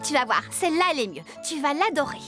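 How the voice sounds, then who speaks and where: A woman voicing a caring, upbeat young farmer in a children's cartoon, coaxing and expressive. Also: high voice, fast, soft background music. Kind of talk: animated children's cartoon dub.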